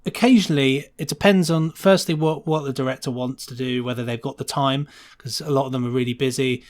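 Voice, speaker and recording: A British man speaks as a guest on a casual podcast. His pitch sits in the middle of the male range. He explains a technical working process in a conversational way, often acting out short quotes to make a point. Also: steady tone, brisk. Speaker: guest sound designer being interviewed.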